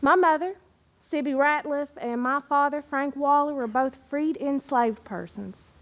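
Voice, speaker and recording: A woman playing an Appalachian poet of yesteryear, fond and proud, in a theatrical living-history monologue with dramatic pauses and deliberate, expressive delivery. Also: high voice. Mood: fond, nostalgic. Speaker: historical reenactor reciting poetry. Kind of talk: theatrical first-person living-history monologue with verse recitation.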